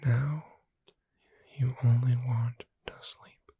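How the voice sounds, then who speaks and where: A woman playing a gentle witch bookseller in a breathy, soothing, whispered ASMR sleep roleplay. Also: a bass voice, steady tone, no room sound, clean studio sound, full character voice. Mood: calm, soothing. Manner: slow, soothing, deliberate. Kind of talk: soft-spoken ASMR sleep roleplay, guided relaxation.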